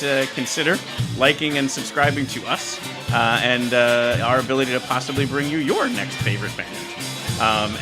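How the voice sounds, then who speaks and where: A man hosting a music podcast, talking casually and haltingly as he thinks aloud. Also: mid-range voice. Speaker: podcast host.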